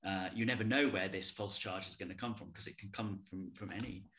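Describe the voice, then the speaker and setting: A man hosting an interview, speaking in a measured, thoughtful way with small hesitations. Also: deep voice, fairly even intonation, bright, forceful tone. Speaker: host. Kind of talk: conversational interview. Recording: roomy echo.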